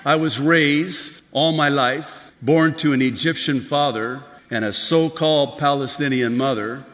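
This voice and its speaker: A man's voice: mid-range voice, unhurried, fairly bright tone. A man preaching a prophecy sermon as pastor, emphatic and building to raised outbursts, recorded in a large hall. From Lebanon. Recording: long hall reverb.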